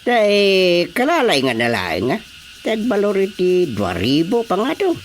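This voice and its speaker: A man's voice: high voice, wildly swooping pitch, measured pace, slight background noise, full character voice. A man playing a jovial, wheedling middle-aged village chairman in a comic radio drama, with an Ilocano accent and exclamatory, theatrical delivery. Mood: evasive, haggling.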